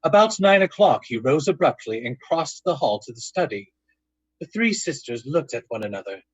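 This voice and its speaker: A man narrating a ghost story in old-time radio style, with deliberate, suspenseful storytelling. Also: a little high, sing-song, slightly rough, no echo, lo-fi sound.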